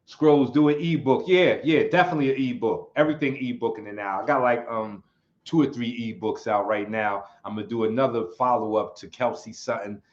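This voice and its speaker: A man hosting his own livestream in a relaxed, rambling way. He chuckles often and reads chat comments aloud. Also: medium pitch, a little fast. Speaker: channel host. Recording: slightly dull lo-fi sound.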